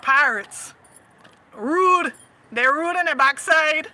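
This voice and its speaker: A woman's voice: sits high, animated, slow, bright, forceful tone. A woman with a Southern US accent hosting a casual chat, laughing often and teasing her audience. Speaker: host.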